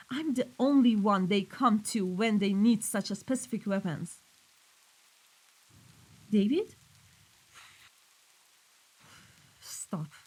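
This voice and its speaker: A woman's voice: a little high, a bit bright, poor audio. A woman playing a cold, commanding mafia boss in a scripted audio roleplay, speaking sternly and accusingly. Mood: scornful. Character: cold, menacing female mafia boss dealing in weapons.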